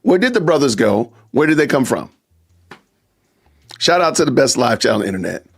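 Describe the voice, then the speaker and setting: A man's voice: medium pitch, sing-song, fairly quick, breathy edge. A man hosting a live stream podcast, talking casually to his chat and repeating rhetorical questions. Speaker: podcast host.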